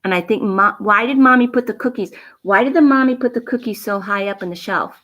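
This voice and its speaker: A woman reading a picture book aloud to children, pausing with teacherly questions and emphatic asides. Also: mid-range voice, fairly bright tone, muffled recording. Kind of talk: read-aloud bedtime story with asides and questions to young viewers.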